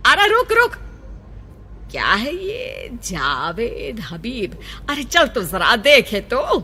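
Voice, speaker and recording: A woman's voice: a little high, huge pitch swings, takes it slowly, sharp tone, long reverb tail, faint music behind, heavily acted. A woman voicing a vain, bossy middle-aged mother-in-law in a cartoon story, boastful and dramatic as she orders others about. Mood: pushy, bossy.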